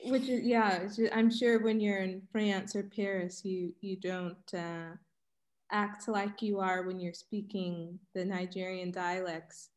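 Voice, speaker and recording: A woman hosting a casual interview, curious and relaxed, with frequent fillers. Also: mid-range voice, a little slow. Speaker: podcast host.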